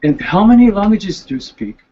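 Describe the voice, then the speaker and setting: A man's voice: high voice, big pitch swings, brisk, warm tone. A man hosting a casual chat, nodding along with short replies and laughing often. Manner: brief backchannels, laughs often. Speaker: host. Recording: dull lo-fi sound with faint noise.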